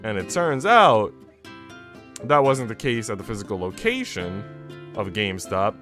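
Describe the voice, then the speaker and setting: A low-pitched man hosts a casual live stream with guitar music clearly playing behind him. He reads visual novel text aloud and chats in slang, joking and swearing freely. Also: sing-song, measured pace, a bit bright. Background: plucked guitar music.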